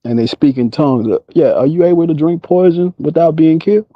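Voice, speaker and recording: A man's voice: a little high, quick pace, no echo. An American man calling into a casual debate, needling his opponent with challenging questions over a lo-fi online call.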